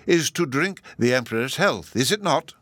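A man's voice: mid-range voice, very animated, measured pace, fairly bright tone, dry recording, clean studio sound, voice pushed up. A man voicing a mild, cheerful scholarly professor in a storybook, musing and courteous.

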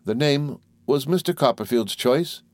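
Guy doing a meek fragile young mum for an audiobook, faltering and plaintive, lifted pitch. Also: unhurried, no room sound, studio quality, natural voice. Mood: hesitant, polite. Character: timid, fragile, helpless young widowed mother. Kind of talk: audiobook character voice.

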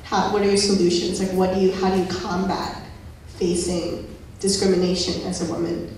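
A woman's voice: on the low side, little pitch movement, moderate pace, darkish tone, slight background noise. A woman interviewing a guest, asking her questions hesitantly with many restarts. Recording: echoey hall, from far off.

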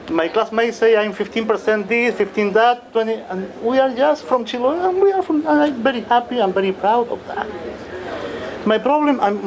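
Passionate man with a high lively voice. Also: background noise. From Chile. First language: Spanish. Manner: passionate, emphatic, rhetorical. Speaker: panelist, poet. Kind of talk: forum discussion.

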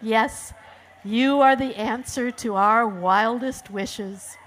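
A woman's voice: on the high side, slow, a bit bright. A woman voicing a fond, playful father panda from a storybook, cooing as the character. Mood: delighted.

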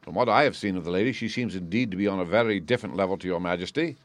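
A man's voice: sits low, rapid-fire, dry recording, lightly acted. A man voicing a cool, reserved detective who speaks formally and coldly, in a storybook reading. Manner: cold, clipped, formal.

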